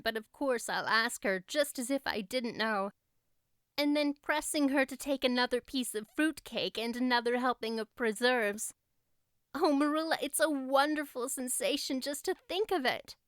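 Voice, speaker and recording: A woman's voice: high-pitched, a bit bright, dry recording, clean studio sound, voice pushed up. A woman voicing a dreamy, talkative young girl in an audiobook, gushing with breathless enthusiasm. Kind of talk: audiobook character voice.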